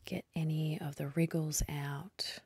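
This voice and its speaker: A woman leading a guided meditation in a soft, husky, breathy voice, speaking slowly and soothingly with long pauses. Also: low-pitched, steady tone, dry recording.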